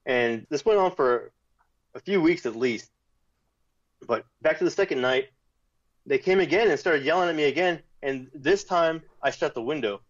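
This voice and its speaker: A man's voice: fairly high pitch, normal speed. Man telling a story over lo-fi audio. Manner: animated retelling with quoted voices, restarts. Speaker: guest. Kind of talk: casual storytelling.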